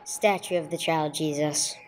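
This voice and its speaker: A woman's voice: deep voice, fairly even intonation, normal speed, over loud music, natural voice. A woman playing a dry, businesslike police detective sergeant in a radio drama, with terse, matter-of-fact delivery. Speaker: narrator and lead actor. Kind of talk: old-time radio police drama, scripted narration.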